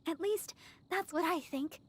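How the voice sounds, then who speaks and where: A woman voicing a gentle, modest young church healer girl in a video game, earnest and soft-spoken. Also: very high voice, a little slow. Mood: modest, reflective.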